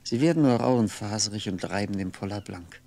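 An actor playing a lonely, world-weary aging man, musing haltingly to himself in a 1960s radio drama monologue, with a gravelly voice. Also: fairly low pitch, a little mellow. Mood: wistful reverie. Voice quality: gravelly, often sinking into breathy whisper. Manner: halting, brooding, fragmented musing with pauses. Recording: 1960s radio recording, faint noise.

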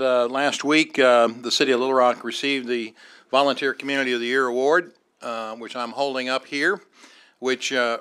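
Middle-aged man, mayor presiding over a council session; halting, filler-heavy, formal delivery on a desk mic. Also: mid-range voice, a bit bright, smooth. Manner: halting, many "uh" fillers, formal procedural phrasing. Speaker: mayor chairing a city board meeting. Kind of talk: chairing a public meeting. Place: large council chamber, seated at dais. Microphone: desk microphone.

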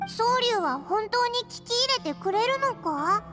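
A woman voicing a small childlike fairy companion in an anime game, squeaky and blunt with boyish phrasing. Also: big pitch swings, unhurried, over loud music, exaggerated voice. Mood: curious, doubtful. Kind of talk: Japanese anime game voice acting.

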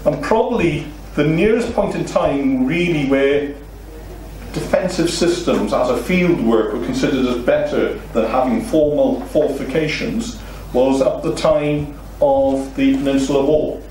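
A man's voice: medium pitch, a little slow, darkish tone, a little hiss or hum. A middle-aged Welsh man with a Welsh accent giving an illustrated lecture to a seated audience, explaining steadily and posing rhetorical questions. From Wales. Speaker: seminar speaker. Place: meeting room with a seated audience. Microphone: far room recording, no mic seen. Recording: very echoey room, distant mic.

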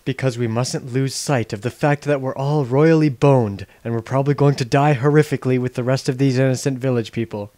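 A man's voice: mid-range voice, steady tone, a little fast, few pauses, warm tone, no echo, lightly acted. A man voicing a cocky, callous young adventurer with a glib, sarcastic manner in a parody game dub. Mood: mock soothing.

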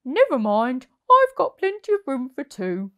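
A woman's voice: high voice, huge pitch swings, unhurried, a bit bright, heavily acted. Woman playing a kindly, patient storybook man. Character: kindly, patient man living in park hut.

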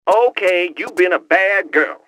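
A cocky, slangy hacker sidekick. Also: male voice, high voice, animated, measured pace, pushed and bright, dry recording, exaggerated voice. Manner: boastful, teasing, slangy street talk. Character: cocky young male hacker sidekick on a headset. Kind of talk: video game cutscene voice acting.

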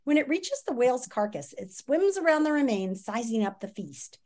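Woman, fifties, lively swooping intonation, dramatic teacherly read-aloud, emphatic pauses, home video call. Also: high voice. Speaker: teacher reading aloud to children. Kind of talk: expressive read-aloud of a nonfiction children's book. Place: small home room, indoors. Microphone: video call, computer microphone.

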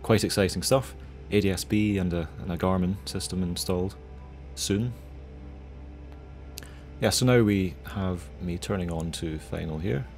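A young man with a very low voice narrates as a pilot. His commentary is casual and conversational. Soft music plays faintly behind him. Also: measured pace. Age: young adult.

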